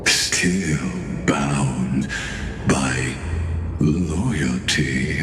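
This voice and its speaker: An actor playing a calm, ancient imprisoned villain in a video game, speaking theatrically with taunting, lingering pauses. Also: male voice, a bass voice, exaggerated voice. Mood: mocking, probing. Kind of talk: dramatic video game voice acting. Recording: echoey long reverb, music underneath. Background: game music.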